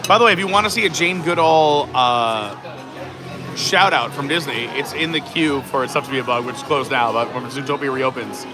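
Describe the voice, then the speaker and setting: A man's voice: mid-range voice, a little fast. A man hosting a live stream, chatting and joking over a meal in a restaurant. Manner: chatty and joking, trading quips. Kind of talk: casual chat over a meal. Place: indoor theme-park restaurant. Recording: background music. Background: music.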